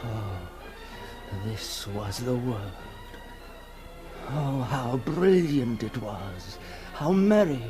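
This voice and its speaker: A man playing a tormented, awestruck figure emerging from long confinement in a theatrical 1960s radio horror monologue, halting and breathless, repeating his words. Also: mid-range voice, expressive, over music. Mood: dazzled wonder. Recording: noisy old 1960s radio recording.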